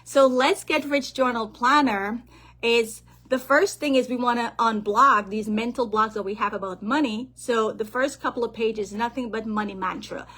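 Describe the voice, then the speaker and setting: Upbeat woman, higher voice. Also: normal speed. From Guyana. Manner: lively, keeps checking in with the listeners. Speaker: financial planner and author. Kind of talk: motivational finance talk. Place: on a call.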